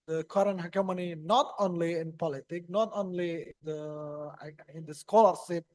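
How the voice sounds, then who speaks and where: Indonesian man moderating, halting speech. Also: a little high, no room sound, poor audio. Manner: halting, with many fillers. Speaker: conference moderator. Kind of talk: moderating a conference session.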